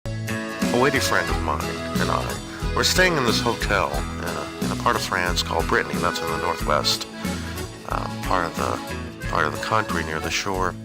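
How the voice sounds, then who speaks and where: A man's voice: deep voice, animated, moderate pace, loud music behind the voice. A man giving an informal, rambling personal monologue with a hesitant, digressive and wry way of talking. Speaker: storyteller on an audio zine.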